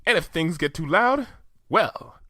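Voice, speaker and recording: A man's voice: a little high, lively intonation, unhurried, bright, forceful tone, no room sound, clean studio sound, pitched above the performer's own voice. A man voicing an elegant, fashion-loving young pony mare who speaks in a refined, theatrical way.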